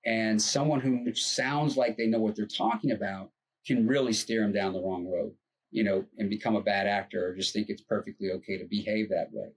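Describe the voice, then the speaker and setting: Casting: husky, low-pitched male co-host, earnest, rambling explainer. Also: fairly even intonation, fairly quick, low-quality recording. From United States. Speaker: co-host, financial advisor. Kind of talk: casual podcast chat.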